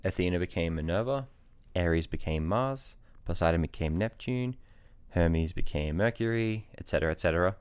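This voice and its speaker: A man co-hosting a family podcast, casually chatting and telling stories with deadpan humor. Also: low voice, normal speed, hardly any pauses, clean tone, no echo. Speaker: podcast co-host. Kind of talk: casual chat and storytelling.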